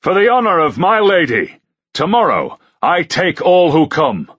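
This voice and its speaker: A man voicing a fervent young knight who shouts loud challenges. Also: mid-range voice, animated, a little slow, breathy edge, no echo, highs cut off, pitched above the performer's own voice. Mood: defiant.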